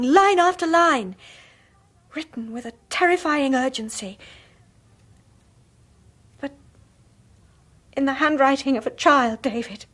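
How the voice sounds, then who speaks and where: An actress playing a haunted young mother in a radio horror drama, speaking hesitantly in a hushed, husky voice. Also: sits high. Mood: tense, awed. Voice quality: breathy, husky. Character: anxious young mother, haunted and unsettled by her husband.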